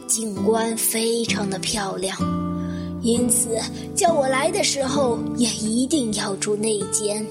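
Slow-spoken, composed elderly lady played by a woman. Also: on the high side. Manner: slow, courteous, measured. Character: elderly widow guest, polite, composed, quietly insistent. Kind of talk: Chinese audio drama acting. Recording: guitar music under the voice.

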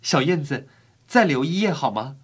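A male actor playing a gentle, compassionate, sorrowful young prince in a fairy-tale drama, with an earnest, pleading, tender delivery. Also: on the high side, lively intonation, moderate pace, slightly rough. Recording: faint music bed. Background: faint music.

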